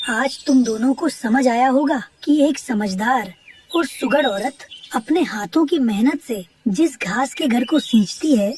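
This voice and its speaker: A woman's voice: high-pitched, darkish tone, pitched below the performer's own voice. Grave elder owl sage for animation, woman performing, moralizing, faint noise, distant placement. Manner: solemn, moralizing.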